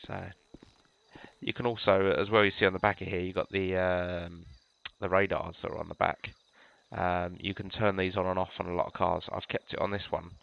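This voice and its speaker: Congested British man with a gravelly low voice. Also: moderate pace, highs cut off. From United Kingdom. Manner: relaxed, rambling with many ums and restarts. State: congested from a cold. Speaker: gamer showing police cars. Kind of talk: casual gameplay walkthrough.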